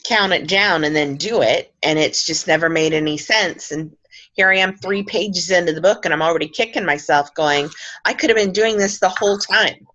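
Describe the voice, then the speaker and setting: A woman's voice: fairly low pitch, normal speed, a bit bright, lo-fi sound. A woman in a group call, chatting in an earnest, self-mocking way. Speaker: group member. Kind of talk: casual group chat.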